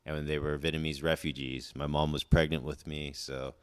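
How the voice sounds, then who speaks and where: Very deep-voiced laid-back man in his forties. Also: level tone, a little fast, clear, resonant tone. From born in Indonesia, raised in Southern California. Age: 40s. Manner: laid-back, rambling, heavy on "like" and "dude". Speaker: podcast guest, chef. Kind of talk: casual chat.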